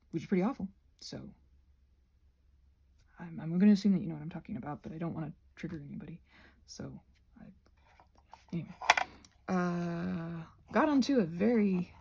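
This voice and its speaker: A woman rambling casually to viewers as she crafts, in a halting, digressive way that often doubles back on itself. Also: fairly low pitch, creaky, dark tone, husky. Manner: halting, digressive, self-interrupting. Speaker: craft and ASMR video creator.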